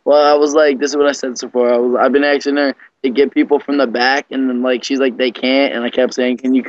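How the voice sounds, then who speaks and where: A man's voice: mid-range voice, level tone, fast, no room sound. A young man chatting casually and slangily on an internet group call, muttering asides. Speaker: prank caller. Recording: slightly muffled computer call audio.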